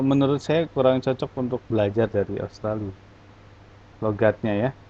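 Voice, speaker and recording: Male teacher, easygoing Q&A, filler-heavy explaining, lo-fi muffled sound. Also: medium pitch. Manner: relaxed, explains with many fillers. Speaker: English teacher. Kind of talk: casual online class Q&A.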